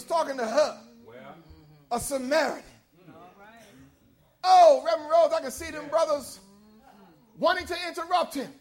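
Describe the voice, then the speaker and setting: High-voiced man preaching with rising fervor. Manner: rising into shouted, rhythmic preaching. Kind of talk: sermon.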